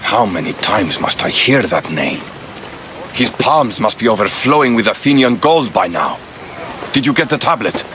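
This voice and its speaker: A man playing a stern, gruff Spartan commander in a video game, terse and commanding. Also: on the low side, lively intonation, moderate pace, background noise, close to an everyday voice. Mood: exasperated. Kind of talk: video game cutscene acting. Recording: music behind the dialogue.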